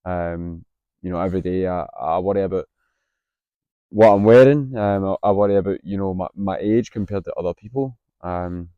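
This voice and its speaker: A Scottish man in his late twenties, a little husky from being unwell, talking candidly to camera outdoors with plenty of hesitant ums. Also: low-pitched, normal speed, no echo, narrow, tinny audio. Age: 20s. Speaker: vlogger, university student. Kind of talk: casual vlog to camera. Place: outdoors on a campus.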